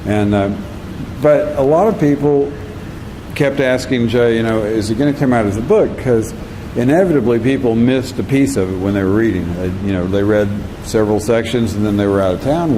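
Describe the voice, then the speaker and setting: A man giving an informal, halting introduction of a speaker as host of a public reading. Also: on the low side. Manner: halting, pausing between short phrases. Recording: echoey hall, loud background noise.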